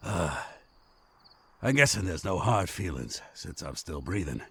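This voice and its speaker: Male actor as wounded bandit boss, deep, husky, very animated swings, coarse folksy talk, fantasy drama. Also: exaggerated voice. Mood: wry, pained. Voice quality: gravelly, rough. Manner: coarse, folksy speech with slow, labored delivery. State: injured, coughing. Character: wounded, gruff bandit leader, weary and protective. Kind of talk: fantasy audio drama acting.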